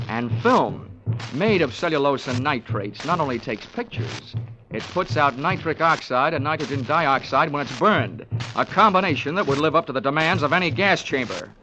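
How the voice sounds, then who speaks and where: An American actor playing a sardonic, hard-boiled investigator who wisecracks through a 1940s radio drama. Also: male voice, mid-range voice, background noise, lightly acted. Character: wry, hard-boiled male investigator narrating his case. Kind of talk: 1940s radio detective acting. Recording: 1940s radio drama recording with organ music.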